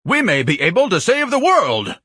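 A man's voice: medium pitch, very animated, a little fast, fairly bright tone, slightly rough, no echo, studio quality. A man voicing a pompous, bombastic scholar with a solemn, orator-like way of talking and florid diction, in a storybook narration. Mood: bellowing, excited. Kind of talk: audiobook character acting.

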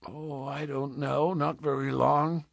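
Man playing a hardened frontier wanderer, husky, harsh, rustic speech, grave, tender, storybook character, close dry sound. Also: expressive, unhurried, fairly bright tone, no echo, heavily acted. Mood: gentle, subdued. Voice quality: deep, harsh voice. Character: gruff, weary, hardened adventurer, tender toward a child.

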